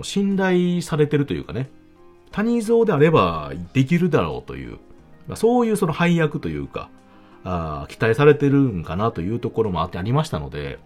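A man with a Kansai accent hosting a casual solo talk podcast, chatting in an animated, emphatic way. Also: medium pitch, brisk. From Kansai, Japan. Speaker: podcast host. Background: background music.

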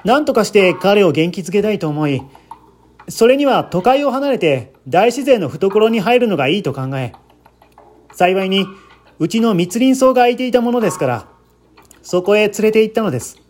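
Guy doing an anxious polite man telling his story in an audio drama, high lively voice, bit of noise behind. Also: normal speed. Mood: earnest, explaining. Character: earnest, anxious man recounting a friend's death.

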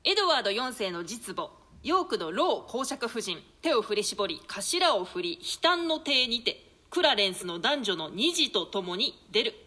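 High, bright-voiced woman narrator. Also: sing-song, unhurried, hardly any pauses. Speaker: narrator reading stage directions. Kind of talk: scripted narration of a play.

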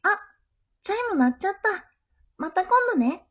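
A woman playing a gentle, studious middle-school girl in an amateur voice drama, friendly and polite. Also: extremely high pitch, expressive, normal speed, dull audio. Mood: friendly, kind.

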